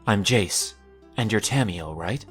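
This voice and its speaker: A man playing a dazed young telepath mage in an audio drama, speaking in a halting, fragmented way. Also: lowish voice, expressive, a bit bright, faint music behind. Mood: dazed, sheepish.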